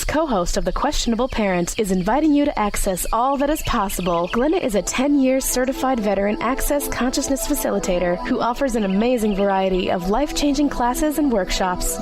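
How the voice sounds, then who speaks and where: A woman announcer reading a scripted radio advertisement. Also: a little high. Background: music.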